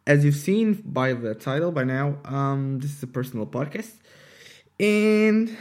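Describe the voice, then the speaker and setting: Hesitant young man with a Portuguese accent, slow-paced. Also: medium pitch, darkish tone. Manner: hesitant, halting, searching for words. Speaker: podcast host. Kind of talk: unscripted personal podcast monologue.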